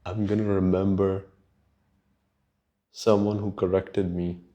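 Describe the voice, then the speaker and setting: Slow, low-voiced man with Urdu accent. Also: flat delivery, mellow. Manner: unhurried, halting, sweary. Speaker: podcast host. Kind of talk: casual rambling solo podcast chat.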